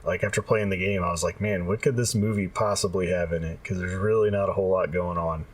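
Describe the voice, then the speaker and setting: Low, husky man, off-mic. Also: fairly even intonation, fast, far from the mic. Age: adult. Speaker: podcast co-host. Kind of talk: casual chat.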